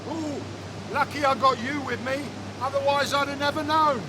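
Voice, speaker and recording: Cocky veteran scaffolder, defensive and sarky, brushes off rules, training film drama. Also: male voice, extremely high pitch, steady tone, moderate pace, noisy background. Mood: impatient, sarcastic. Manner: blunt, sarcastic, defensive.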